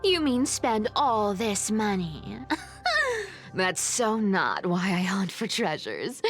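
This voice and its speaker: Female trickster demigod for anime game: teasing, unhurried, highly animated, music underneath. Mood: breezy defiant. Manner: playful, teasing, drawn-out delivery. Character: sly, teasing young female trickster demigod and treasure hunter. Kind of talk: anime-style game voice acting. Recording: music behind the voice.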